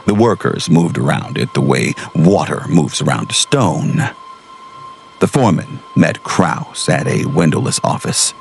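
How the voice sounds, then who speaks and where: A man giving measured, portentous scripted narration of a gothic tale. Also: very deep voice, very animated, gravelly, slight background noise.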